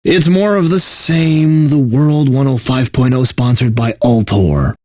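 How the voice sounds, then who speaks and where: A man's voice: mid-range voice, unhurried, warm tone, dry recording, clean studio sound, close to an everyday voice. An actor playing a bitter, sardonic video game radio DJ who resents his corporate bosses, mixing station patter with theatrical despair. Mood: weary announcer patter.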